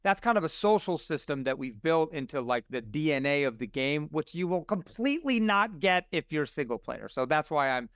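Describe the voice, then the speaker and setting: A man's voice: fairly high pitch, sing-song, normal speed, hardly any pauses, a bit bright, clean tone, dry recording, clean studio sound. A man chatting casually and enthusiastically as a guest on a podcast about game development. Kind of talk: casual video podcast chat.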